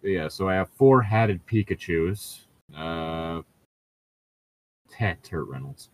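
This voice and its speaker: A man's voice: low voice, animated, slow. A man co-hosting a podcast in casual banter, with a sardonic, sweary way of talking. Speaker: podcast co-host.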